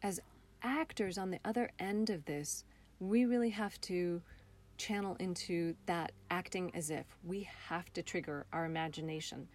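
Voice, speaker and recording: Woman director chatting in an interview, voice a bit low, earnest and expressive. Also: moderate pace. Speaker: theater director and actor being interviewed. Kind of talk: reflective interview conversation.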